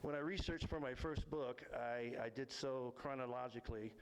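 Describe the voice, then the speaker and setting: A man telling a story live in a folksy, rambling, self-deprecating and halting way. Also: medium pitch, smooth. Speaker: storyteller and local history author. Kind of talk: live tall-tale storytelling to an audience.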